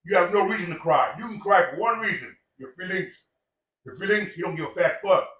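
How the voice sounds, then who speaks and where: A man's voice: high voice, lively intonation, a little fast, a bit bright, recorded from a distance, highs cut off, slight background noise. Profane, preachy older man in an echoey room. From Samoan heritage. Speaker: podcast guest. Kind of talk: casual chat.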